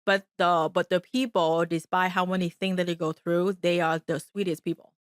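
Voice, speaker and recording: A woman from Vietnam with a Vietnamese accent, a native Vietnamese speaker who is a coffee shop owner and guest on a casual interview, talking haltingly with many "uh"s and restarts. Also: fairly low pitch, level tone, smooth, no room sound, clean studio sound. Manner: halting, non-native grammar, frequent "uh" and restarts.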